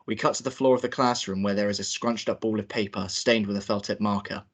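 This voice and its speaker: Young male director-narrator, informal and halting, rapid delivery, slightly low pitch. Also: fairly even intonation. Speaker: director hosting a table read and reading narration. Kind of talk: informal hosting and script narration.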